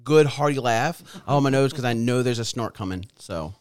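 Crude deadpan man, dry close sound. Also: mid-range voice, fairly quick, clear, resonant tone, dry recording. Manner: crude, self-mocking, deadpan teasing. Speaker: podcast co-host. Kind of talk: casual banter.

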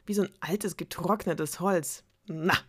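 A woman voicing an arrogant young princess who mocks her suitors in a shrill, snappy way. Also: unhurried, breathy edge. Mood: mocking.

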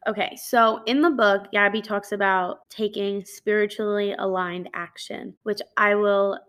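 A woman's voice: mid-range voice, fairly even intonation, measured pace, fairly bright tone. A woman in her twenties hosting a solo podcast, chatty and upbeat, rambling with lots of fillers and laughing as she talks into a microphone. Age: 20s. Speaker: podcast host. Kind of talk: casual solo podcast talk. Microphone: studio microphone.

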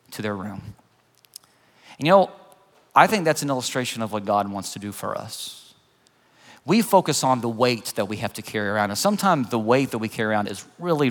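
A man's voice: a little high, a bit bright. A middle-aged man preaching a sermon in a conversational, earnest way, telling personal stories and putting questions to his listeners. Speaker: pastor preaching. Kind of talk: sermon with personal storytelling and illustration. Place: large church room, preaching to a congregation. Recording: long hall reverb.

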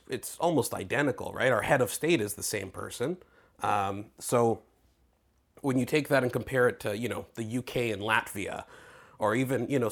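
A man from Greece interviewing a guest, chatty and frank, thinking aloud before posing questions. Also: fairly low pitch. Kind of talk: conversational interview.

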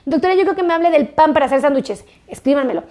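A woman's voice: sits high, animated, rapid-fire, a little mellow. A woman doctor talking casually to her viewers, chatty and repetitive, coaxing them along. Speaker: doctor and health video creator. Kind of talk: casual direct-to-camera health talk.

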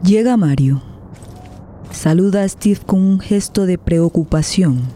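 A woman's voice: lowish voice, a little slow, dark tone, slight background noise. A woman reading dramatic scripted narration for a radio drama. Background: music.

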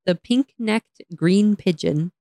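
A young woman co-hosting a casual chat, chatty and laughing often, her voice a little raspy from talking all day. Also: medium pitch, takes it slowly, warm tone, no room sound, studio quality. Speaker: podcast co-host.

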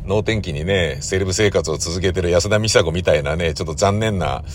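A man hosting a casual solo podcast, talking in halting, drawn-out phrases that keep checking for agreement. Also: low voice, a little hiss or hum. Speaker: host of a regular podcast, radio drama director.

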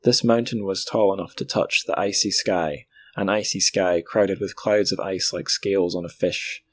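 A man's voice: sits low, steady tone, normal speed, no echo. A man hosting a podcast, speaking in conversation or reading aloud. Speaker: podcast host.

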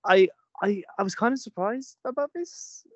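A man's voice: sits high, moderate pace, dry recording, muffled recording. Joking young male podcast host. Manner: jokey, self-mocking, stammering restarts. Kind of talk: casual chat.

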